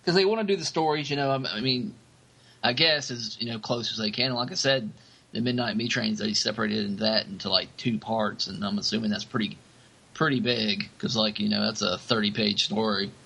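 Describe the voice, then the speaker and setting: Low gravelly voice, forceful bright tone, man, rambling casual podcast chat. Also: a little fast, low-quality recording. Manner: rambling, leans on "you know". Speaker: podcast co-host.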